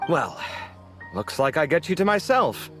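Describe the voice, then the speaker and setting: An actor playing an animated, sociable young man who bickers sarcastically with his roommate, in a game cutscene. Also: fairly high pitch, unhurried, soft background music. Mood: friendly. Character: expressive sociable young man architect, easily exasperated. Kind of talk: video game cutscene voice acting.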